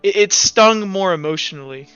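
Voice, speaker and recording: A man narrating a tabletop roleplay game as game master in a halting, informal way, on a muffled recording. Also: high-pitched, lively intonation, a bit bright, clean tone, dry recording, soft background music.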